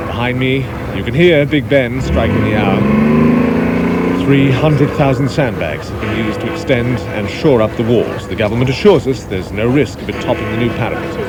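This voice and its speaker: Actor as British radio reporter amid loud noise. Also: male voice, mid-range voice, close to an everyday voice. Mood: grave, measured. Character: British male radio reporter, married, dry and steadfast. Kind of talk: radio drama acting. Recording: loud outdoor city and water noise behind.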